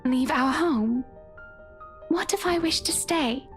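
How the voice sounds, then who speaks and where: A woman's voice: high voice, takes it slowly, faint music behind, voice pushed up. A woman voicing an eerie, amused wife, a menacing spirit in disguise, with formal, coy phrasing in a visual novel. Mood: coy, taunting.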